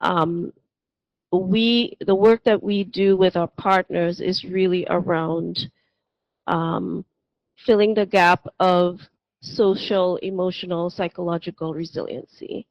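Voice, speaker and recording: A woman's voice: on the low side, steady tone, takes it slowly, no echo, muffled recording. A woman from Trinidad and Tobago asking a question from the audience, earnest and searching for words with many ums.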